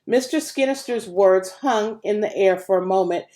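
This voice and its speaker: A woman reading a children's novel aloud as an expressive storyteller, with dramatic emphasis. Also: mid-range voice, normal speed. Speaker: audiobook reader.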